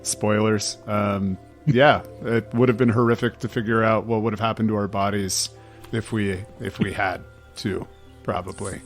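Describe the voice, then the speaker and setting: A man's voice: fairly low pitch, little pitch movement, normal speed, faint music behind. A man chatting casually as a podcast panelist, with a dry, wry manner. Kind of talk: casual chat.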